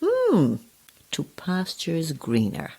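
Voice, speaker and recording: A woman playing a sly, motherly hostess in her seventies in an audio drama, husky, blunt and playful, cooing endearments. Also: low-pitched, wildly swooping pitch, very slow, mellow, exaggerated voice. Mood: dry, teasing. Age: 70s. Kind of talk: audio horror drama acting.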